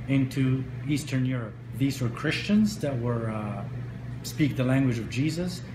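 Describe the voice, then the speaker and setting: A middle-aged man from Lebanon and Egypt, speaking earnestly in a news interview, often pausing on uh. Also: mid-range voice. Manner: earnest, with frequent uh fillers. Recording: echoey room with steady noise.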